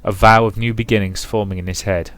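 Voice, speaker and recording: Low-voiced man narrating theatrically over music. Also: fairly even intonation, a little fast, fairly bright tone. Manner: rhythmic, theatrical reading. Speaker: let's play host. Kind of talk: dramatic narration of visual novel, with commentary.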